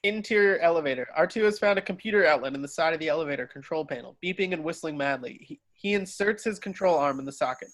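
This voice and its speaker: Male narrator, slightly high voice, dry close sound, reading scene directions from a script. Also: quick pace, few pauses, fairly bright tone, no echo.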